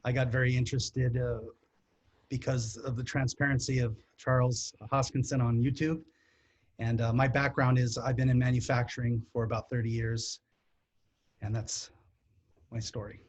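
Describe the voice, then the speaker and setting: A man from California speaks at an average pitch in an easygoing way. He explains things plainly with everyday comparisons. He sounds like a panelist in a casual online chat. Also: little pitch movement, normal speed, a little hiss or hum. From California, United States. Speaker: non-technical stake pool member.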